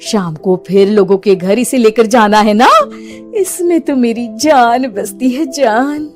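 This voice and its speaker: A woman playing a sly, gloating village witch in a horror story, with a theatrical, drawn-out delivery. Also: medium pitch, darkish tone, soft background music, close to an everyday voice. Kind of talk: acted Hindi horror story for animation.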